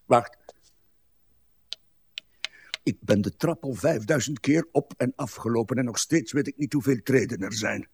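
An actor playing a grumpy, pedantic old husband, bitter and weary, in a radio play. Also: male voice, medium pitch, a little slow, pauses often, darkish tone, husky, no echo. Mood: obsessive, agitated. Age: older. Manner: irritable, complaining, pedantic.

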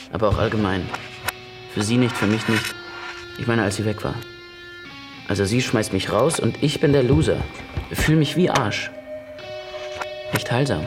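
A man's voice: on the low side, close to an everyday voice. An actor playing a casual, teasing, slightly wounded man in love in a radio play, speaking in clipped colloquial phrases. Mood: frustrated, tender. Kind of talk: radio play acting with intimate monologue. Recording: music behind the voice.

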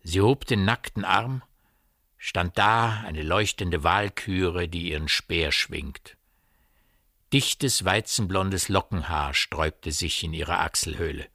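A man's voice: deep voice, slow pace, pushed and bright, no room sound, clean studio sound, exaggerated voice. A man narrating a literary radio play in a precise, formal way. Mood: intense.

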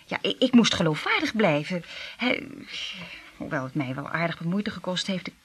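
An actress in a Dutch radio play, playing an earnest, conflicted secret agent princess with a husky voice. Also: female voice, lowish voice, very animated, normal speed. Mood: confiding, remorseful.

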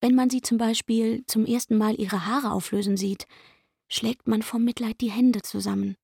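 Husky, indignant young chambermaid. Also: female voice, a little high, steady tone, moderate pace, mellow, no echo, studio quality. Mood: indignant, insistent. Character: aggrieved young chambermaid turned barmaid, pouring out complaints. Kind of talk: literary radio play monologue.

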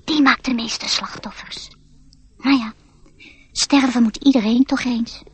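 Whispery, breathy little mouse. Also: female voice, fairly high pitch, fairly even intonation, measured pace. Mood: secretive. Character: secretive little female mouse, matter-of-fact. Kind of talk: radio drama acting.

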